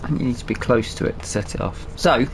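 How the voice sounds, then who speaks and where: A man's voice: mid-range voice, quick pace, noisy background. A British man giving casual let's play commentary, musing aloud to himself as he goes. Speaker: gaming YouTuber.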